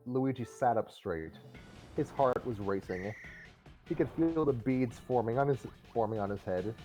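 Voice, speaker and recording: A man's voice: mid-range voice, expressive, normal speed, mellow. A man reading a comic story aloud as narrator on a live stream, sometimes repeating phrases, with music behind him. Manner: stumbles over and re-reads phrases.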